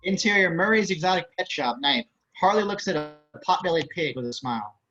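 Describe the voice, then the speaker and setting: A man's voice: on the high side, expressive, fairly quick. A man reading stage and screen directions aloud as scripted narration. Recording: muffled online call audio.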